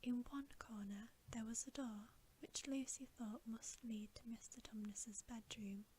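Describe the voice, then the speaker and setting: A woman reading a bedtime story aloud as ASMR in a soft, breathy, unhurried whisper, close to the microphone. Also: a little high, steady tone, a little hiss or hum.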